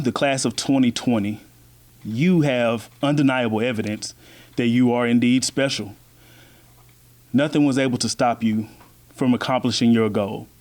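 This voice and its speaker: A man's voice: mid-range voice, a little mellow. A man giving a heartfelt, encouraging address to a graduating class.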